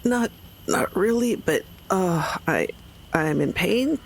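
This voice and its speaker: A woman's voice: medium pitch, lively intonation, slow pace, vocal fry. A woman playing a tabletop role-playing game, casually describing what her character does. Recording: faint background noise.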